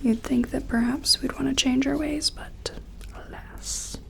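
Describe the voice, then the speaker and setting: Woman acting as female alien researcher, ASMR roleplay, slow hushed whisper, creaky edges, timid and curious. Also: high voice, fairly even intonation. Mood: musing, wry. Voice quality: breathy whisper mixed with soft, creaky murmur. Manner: gentle, hesitant, musing. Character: timid, awed female sci-fi scientist examining an alien.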